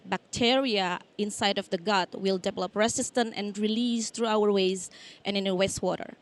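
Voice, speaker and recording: A woman pitching her company on stage, explaining steadily with small fillers. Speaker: startup founder pitching. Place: outdoor stage.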